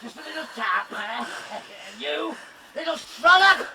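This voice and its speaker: An actor playing a brutal, drunken sea captain in a radio drama, shouting and laughing cruelly. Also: male voice, very high-pitched, big pitch swings, slow pace, gravelly, far from the mic, crowd noise, exaggerated voice, low-quality recording. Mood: raging.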